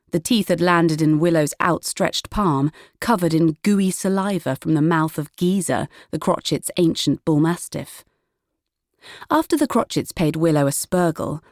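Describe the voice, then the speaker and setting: Low-pitched woman narrating a children's story. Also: a little mellow, no room sound, studio quality. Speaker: audiobook narrator.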